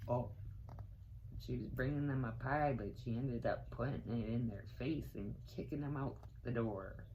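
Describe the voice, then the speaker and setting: A middle-aged woman reading a children's picture book aloud, pausing for asides to her young listeners. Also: extremely low pitch, noisy background. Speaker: children's story time reader, teaching assistant. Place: small cluttered room indoors.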